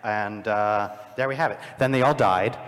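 A man's voice: lowish voice, moderate pace. Guy rambling on a panel, lecturing with jokes, big echoey hall. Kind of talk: live panel discussion. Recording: live panel in a reverberant hall.

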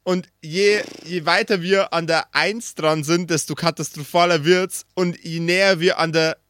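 A man's voice: fairly high pitch, fairly even intonation, normal speed, a bit bright, no room sound, clean studio sound. A man acting as game master, casually improvising a tabletop game and often searching for his words.

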